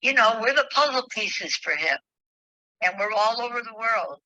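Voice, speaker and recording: A woman who is a spiritual leader, speaking haltingly with pauses as she reads and shares devotional thoughts with a group over a phone call. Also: fairly high pitch, animated, bright, forceful tone, dry recording. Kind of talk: devotional reading and heartfelt group sharing. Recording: dull, dry online call audio.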